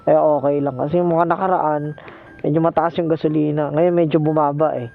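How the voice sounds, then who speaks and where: A man's voice: fairly high pitch, little pitch movement, brisk, gravelly. A Filipino man chatting casually and teasingly with a passenger as a motorcycle taxi rider, speaking politely through a helmet intercom on the road. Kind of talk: casual joking chat with passenger. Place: outdoors riding a motorcycle in traffic. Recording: muffled intercom mic, loud road noise. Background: loud vehicle and street noise.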